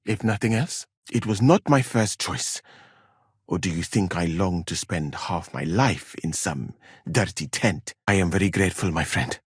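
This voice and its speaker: Learned medieval physician, lowish voice, slightly husky, dignified, formal, dry wit, video game dialogue. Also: male voice, lively intonation, no room sound, full character voice. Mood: earnest, courteous. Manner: measured, polite, formal, articulate.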